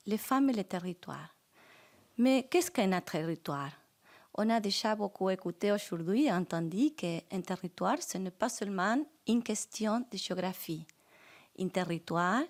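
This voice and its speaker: A woman from Argentina with a Spanish accent, French not her first language, giving a measured, formal conference speech as guest speaker. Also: medium pitch, a little mellow.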